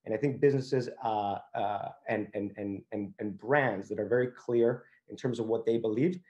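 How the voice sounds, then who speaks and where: Mellow man from Venezuela, muffled audio. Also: on the low side, normal speed. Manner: conversational, frequent filler "you know" and "kind of". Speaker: company co-founder and CEO, panel guest. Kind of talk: panel introduction and discussion.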